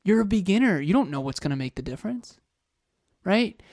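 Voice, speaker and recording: A man's voice: sits high, sing-song, fairly quick, darkish tone, no echo, studio quality. A man in his thirties co-hosting a motivational podcast, talking with energy, firing off rhetorical questions and repeating phrases. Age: 30s. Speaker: podcast co-host.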